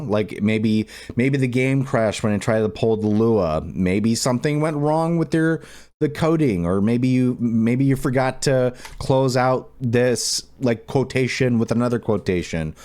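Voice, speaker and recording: A man's voice: mid-range voice, a little mellow. A man giving a casual screen-recorded game modding tutorial, speaking informally in a rambling way and repeating himself. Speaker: video tutorial host.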